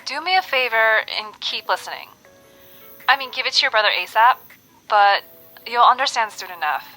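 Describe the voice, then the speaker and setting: A woman's voice: on the high side, animated, moderate pace, sharp tone, faint music behind, poor audio. A woman playing an earnest, secretive young woman in an audio drama, insistent and cryptic on a call. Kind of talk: audio drama phone conversation.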